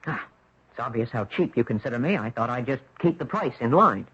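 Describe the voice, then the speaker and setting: A young American beach dropout, earnest, proud and quick to bristle. His husky voice swings expressively in radio drama style, on a muffled old broadcast. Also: mid-range voice, expressive, fairly bright tone. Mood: defiant. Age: young adult. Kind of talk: 1970s radio drama acting. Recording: dull, muffled vintage radio recording.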